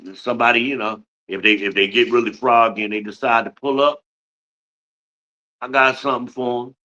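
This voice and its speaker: A man's voice: medium pitch, steady tone, brisk, bright, forceful tone, muffled recording. A man in his fifties from Newark, New Jersey, hosting a podcast livestream with a boastful, blunt, profane rant. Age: 50s. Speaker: podcast host.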